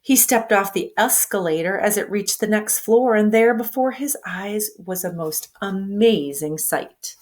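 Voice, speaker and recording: Teacher reading a picture book aloud to children, expressive, engages the listener with questions. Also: female voice, medium pitch, measured pace, hardly any pauses.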